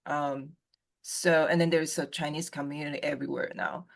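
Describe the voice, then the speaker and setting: A copyright consultant chatting casually, speaking haltingly with many restarts and fillers. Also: mid-range voice, level tone, creaky. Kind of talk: casual group conversation.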